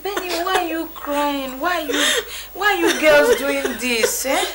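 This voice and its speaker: A woman's voice: high voice, measured pace. An actress playing a forgiving woman in an emotional film drama, pleading in short, insistently repeated phrases. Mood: distressed pleading. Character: tearful forgiving woman comforting guests in her home. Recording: clearly noisy location sound.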